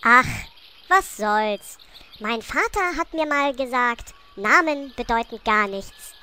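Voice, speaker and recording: A tiny, imperious gnome queen for a fantasy comedy radio play. She is naive and breezily regal, speaking slowly in a bright, high voice that swings widely. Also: lively intonation, slight background noise, heavily acted. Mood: breezy.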